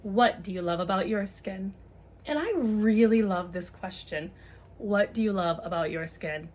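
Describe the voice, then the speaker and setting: A woman's voice: mid-range voice, measured pace, a little mellow. A woman explaining and reading a children's book aloud in an informal, conversational way, often rephrasing as she goes.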